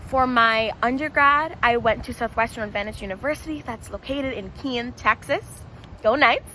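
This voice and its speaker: A woman's voice: a little high, pushed and bright, slight background noise. A woman from the Bahamas, a licensed counselor, giving an encouraging, interactive talk to students and repeating points for emphasis. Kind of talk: presentation to students.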